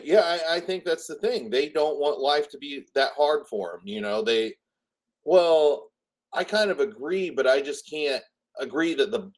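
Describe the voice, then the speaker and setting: A man with a lingering Southern Indiana accent, chatting casually on a group call and often hedging and restarting his sentences. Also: medium pitch. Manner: hesitant, restarts and hedges.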